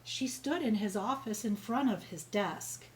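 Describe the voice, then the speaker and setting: A woman's voice: medium pitch, measured pace, slight background noise. A woman reading a children's story aloud, expressively and with emphatic, dramatic phrasing. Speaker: storybook reader.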